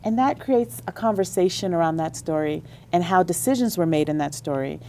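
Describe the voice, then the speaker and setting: A woman's voice: fairly low pitch, lively intonation, brisk, a little mellow, a little hiss or hum. A woman on a radio interview, explaining at length with enthusiasm about library services. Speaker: school district library leader.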